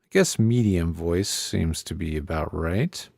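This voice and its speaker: A man with a lowish voice walks through gear in a casual tutorial style. He talks conversationally, as if thinking aloud while he tests things. The sound is close and dry, on a studio podcast microphone. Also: unhurried, vocal fry, darkish tone, no room sound. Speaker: presenter of an audio gear tutorial. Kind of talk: casual hands-on product tutorial.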